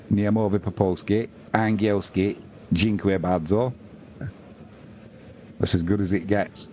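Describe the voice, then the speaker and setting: A man's voice: mid-range voice, warm tone, slight background noise. A man doing informal, matey live rugby league commentary on a stream, mixing play-by-play with chatty asides to viewers. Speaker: sports livestream commentator and host.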